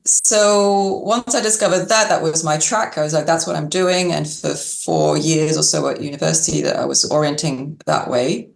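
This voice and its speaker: A woman's voice: low-pitched, moderate pace, creaky, few pauses. A woman doctor in public health, a podcast guest, answering questions in a thoughtful way with small laughs. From Algeria and Yorkshire. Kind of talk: interview answers. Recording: echoey room.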